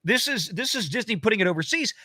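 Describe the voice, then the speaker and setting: A man with a high, bright voice reacts live as a commentator. He talks casually and sounds scornful and incredulous, in a close, dry recording. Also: animated, fast, no room sound.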